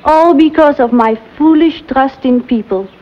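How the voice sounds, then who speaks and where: A high, flustered young French teacher, breathy and fluttering, with a French accent. Her polite, anxious voice comes through a dull, lo-fi old soundtrack. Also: a little slow. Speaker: actress in a western drama. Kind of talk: vintage television drama acting.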